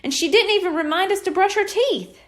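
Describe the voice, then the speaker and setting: A woman's voice: very high voice, a little fast, pitched above the performer's own voice. A woman voicing a cheeky, eager young twin boy with an exclamatory, childlike delivery in a storybook. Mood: excited.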